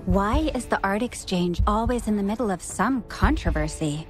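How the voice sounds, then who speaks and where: A woman's voice: medium pitch, lively intonation, moderate pace, soft background music, close to an everyday voice. A woman playing a skeptical, grumbling townsperson in a video game.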